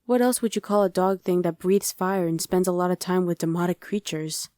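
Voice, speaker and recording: A woman's voice: lowish voice, a little fast, warm tone, no echo, clean studio sound, natural voice. A woman playing a friendly, streetwise young survivor of a demon invasion in an ASMR roleplay, talking casually and matter-of-factly to the listener with some hesitation. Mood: wry, explaining. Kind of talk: ASMR roleplay, conversational acting addressed to the listener.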